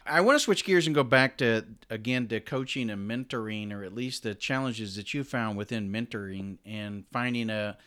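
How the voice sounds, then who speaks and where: A man's voice: fairly low pitch, moderate pace, few pauses, fairly bright tone, clean tone. A man hosting an interview, asking long rambling questions and explaining ideas at length. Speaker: podcast host. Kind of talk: conversational interview.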